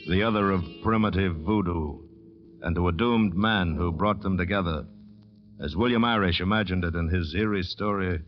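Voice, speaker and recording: Male 1940s radio announcer, very low, ominous measured narration over prominent music, dull vintage sound. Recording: muffled old-time radio recording. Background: loud music.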